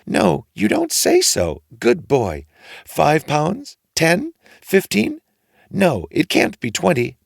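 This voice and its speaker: A man's voice: a little high, huge pitch swings, slow, gravelly, no room sound, studio quality, voice pushed up, heavily acted. A man voicing a poor, hot-tempered widowed mother in a storybook, fretful and given to indignant exclamations. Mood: eager, expectant. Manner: exclaiming, repeating phrases indignantly. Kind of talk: storybook character reading.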